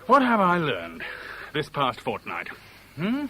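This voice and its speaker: A man's voice: a little high, huge pitch swings, slow pace, sharp tone, full character voice, rough recording. An actor playing a heartbroken, self-mocking British man in his forties in a 1970s radio drama, articulate and theatrical. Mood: bitter, anguished. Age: 40s. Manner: articulate, wry, theatrical, rhetorical. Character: heartbroken, self-mocking middle-aged Englishman, a jilted lover. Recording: faint hiss on old radio broadcast.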